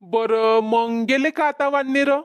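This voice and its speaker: A woman's voice: on the high side, fairly even intonation, takes it slowly, clean tone. A woman voicing a concerned, reasoning village farmer husband in a cartoon comedy, with a Vidarbha Marathi accent. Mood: calm. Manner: placating, explaining, gently chiding.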